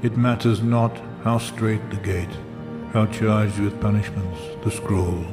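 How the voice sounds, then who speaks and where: Male reader, lowish voice, solemn and deliberate poetry recitation beneath loud music. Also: fairly even intonation.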